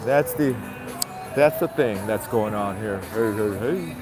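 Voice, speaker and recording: A man's voice: on the low side, a little slow, music in the background. A man with a stuffy nose chatting casually to viewers on a live-stream while walking a city sidewalk at night, filmed on a handheld phone. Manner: chatty, repeats greetings, talks to viewers. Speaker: vlogger. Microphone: handheld phone camera.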